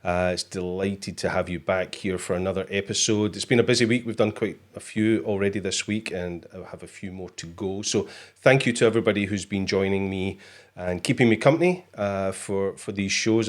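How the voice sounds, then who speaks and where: A man's voice: deep voice, steady tone, a little fast. A Scottish man hosting a casual live show, chatty and joking, with plenty of fillers. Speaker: host. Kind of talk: casual livestream chat.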